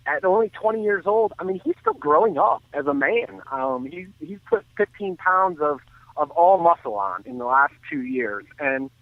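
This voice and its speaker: A man giving earnest, detailed off-the-cuff baseball commentary as a radio guest, often halting and restarting his sentences. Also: mid-range voice, dry recording. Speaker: baseball analyst and guest on a sports radio show. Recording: thin phone-like line.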